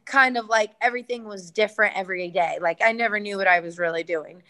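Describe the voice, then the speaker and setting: A woman's voice: mid-range voice, fast talker, pushed and bright. A young adult woman fighter, answering interview questions earnestly, with many fillers and restarts. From United States.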